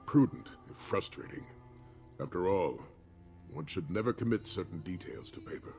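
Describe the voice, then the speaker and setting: An actor playing a gruff, weary but loyal veteran warrior in a video game, talking in a measured, dry, formal way. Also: male voice, low-pitched, animated, darkish tone. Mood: reflective, troubled. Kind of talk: video game character dialogue. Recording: music clearly under the voice.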